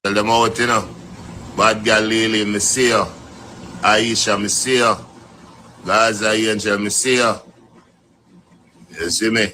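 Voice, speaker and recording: Man, Jamaican accent, bright tone, casual shout-outs, faint noise behind. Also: lowish voice, a little slow, long pauses, rough recording. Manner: relaxed, rolling shout-outs in patois.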